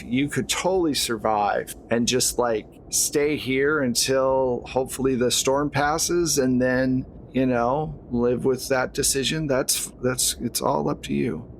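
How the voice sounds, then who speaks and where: A man's voice: mid-range voice, measured pace, few pauses, poor audio. A man acting as game master, narrating and explaining an improvised tabletop horror game in a conversational way. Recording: clear background noise.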